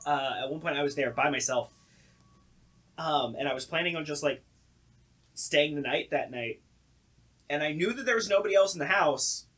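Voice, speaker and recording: A man's voice: a little high, normal speed, long pauses, fairly bright tone. A young man co-hosting a podcast, telling stories casually and informally and acting out reactions as he goes. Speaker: podcast co-host. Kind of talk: casual storytelling chat.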